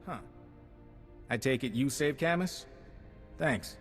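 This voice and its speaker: Wry, mid-pitched secret agent, reverberant. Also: male voice, takes it slowly, fairly bright tone. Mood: wry briefing. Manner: wry, casual, confident. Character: wry, unflappable male undercover intelligence agent. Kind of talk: video game voice acting. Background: music.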